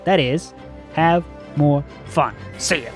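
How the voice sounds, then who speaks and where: A man's voice: on the high side, slow, over music. A man hosting a solo podcast, giving a rambling, earnest pep talk that keeps restarting its sentences. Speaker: podcast host.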